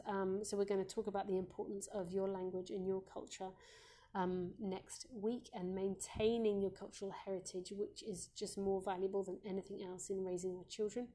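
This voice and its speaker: A woman with a croaky voice, a Montessori educator hosting a live parenting advice Q&A, gentle and reassuring, with frequent ums. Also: mid-range voice.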